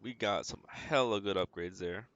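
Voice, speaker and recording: A man's voice: lowish voice, normal speed, fairly bright tone, highs cut off. A man giving casual gameplay commentary as a streamer, talking to himself in short remarks. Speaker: game streamer. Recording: noisy mic with clicks and keyboard typing. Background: keyboard typing and clicking.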